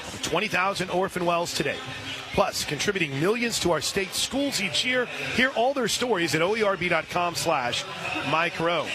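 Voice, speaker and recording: An American man working as a radio play-by-play announcer, delivering softball commentary and sponsor reads in clipped, punchy phrases. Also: high voice.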